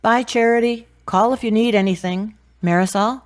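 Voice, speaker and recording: A woman playing a supportive, gently teasing friend in a radio drama. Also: on the high side, moderate pace, lightly acted. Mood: friendly.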